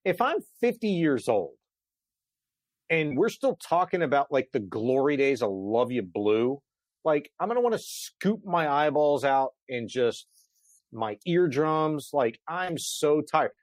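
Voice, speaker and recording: A man's voice: on the high side, big pitch swings, measured pace, dry recording. Guy in his 40s ranting about sports, casual and emphatic, dry close sound. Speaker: sports show co-host. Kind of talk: excited sports talk chat.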